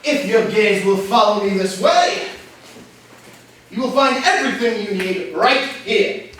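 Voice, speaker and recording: Man acting a very high-voiced formal butler, echoey. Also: expressive, fairly bright tone. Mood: unflappable. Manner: formal, deliberate, precise. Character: formal, unflappable butler hosting a murder mystery. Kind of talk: comedic stage acting in a staged reading. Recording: echoey room, distant mic, faint noise.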